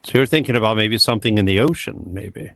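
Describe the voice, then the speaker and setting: A man's voice: lowish voice, expressive, fast, no room sound. A man chatting casually, joking and swearing as he acts out past exchanges. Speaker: game scripter. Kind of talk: casual chat.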